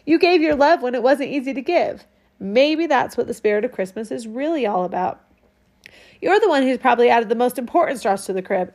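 Woman doing a warm-hearted mom, soft, reassuring, slow and fairly high. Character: gentle caring mother.

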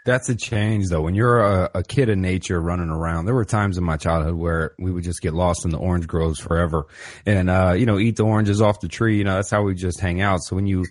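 A man with a very deep voice hosts a podcast in a loose, slangy manner. He keeps dropping "man" and "brother" into his sentences and chats easily with his guest. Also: fairly even intonation, a little fast. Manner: laid-back, slangy, says "man" and "brother" a lot. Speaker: podcast host. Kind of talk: casual interview conversation.

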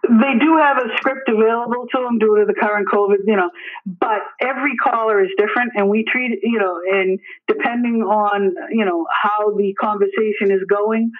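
A middle-aged man with a high-pitched voice speaks over a thin, phone-like line. He explains things informally and earnestly, like an emergency services supervisor in an interview, repeating phrases for emphasis. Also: fairly even intonation, normal speed. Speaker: emergency dispatch deputy superintendent. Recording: tinny narrow phone or radio line.

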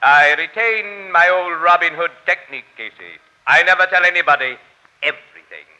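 A man's voice: on the high side, animated, normal speed, pushed and bright, rough recording. A man playing a small, fussy, pompous would-be crime-fighter with florid formal diction in an old-time radio drama. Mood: self-satisfied, precise. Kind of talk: 1940s radio acting.

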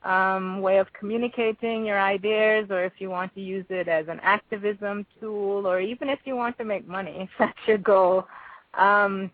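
A woman's voice: mid-range voice, steady tone, normal speed, dry recording, phone-quality sound. A woman with a Jamaican accent giving thoughtful, conversational answers as an interview guest.

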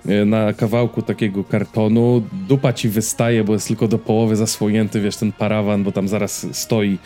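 A man's voice: fairly low pitch, flat delivery, normal speed, warm tone, faint music behind. A man co-hosting a podcast in a casual, informal and joking way, given to anecdotes. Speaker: podcast co-host.

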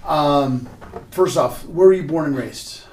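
A man's voice: on the high side, unhurried, darkish tone, slight background noise. A man speaking as a pastor and podcast host, informal, asking simple questions and giving short affirmations. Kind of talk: casual interview and spoken prayer. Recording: roomy, echoey space.

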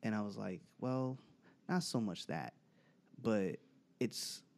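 A man's voice: lowish voice, lively intonation, slow pace, creaky, a little mellow. A man hosting a podcast, talking in a laid-back, rambling way full of restarts. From United States. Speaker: podcast host. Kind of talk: casual debate chat.

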